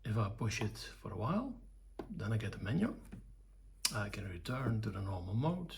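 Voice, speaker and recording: An older man giving an informal technical demonstration, explaining things in short, halting, measured phrases. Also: fairly low pitch, sing-song, gravelly, darkish tone, a little hiss or hum. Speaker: electronics hobbyist presenting own project.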